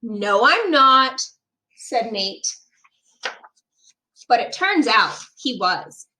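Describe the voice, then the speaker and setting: A woman reading a picture book aloud as a teacher would, expressive and emphatic for young children. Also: high-pitched.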